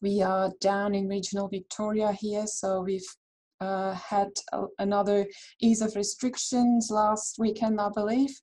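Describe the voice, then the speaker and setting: Mellow-voiced woman from Switzerland presenting. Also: mid-range voice, rough recording. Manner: friendly, chatty with frequent fillers and restarts. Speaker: herbal dispensary founder presenting a webinar. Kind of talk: webinar presentation.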